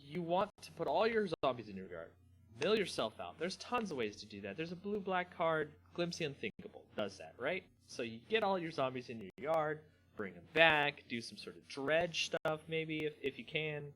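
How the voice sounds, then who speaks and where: A man hosting a live stream in a casual, slangy, rambling way, with halting pauses. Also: on the high side, sing-song, fairly bright tone. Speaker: host of a card game channel. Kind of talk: casual live stream chat, then dramatic story reading.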